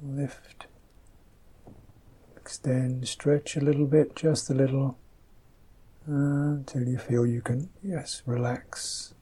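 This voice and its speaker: A man teaching a guided meditation, speaking softly and gently in unhurried, halting phrases, with a slightly husky voice. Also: mid-range voice, steady tone.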